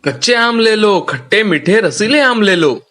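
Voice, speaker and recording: A man's voice: high voice, expressive, a little slow, sharp tone, exaggerated voice. A man voicing a polite, earnest, hardworking young village farmer in a cartoon story. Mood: calling out. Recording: music under the voice.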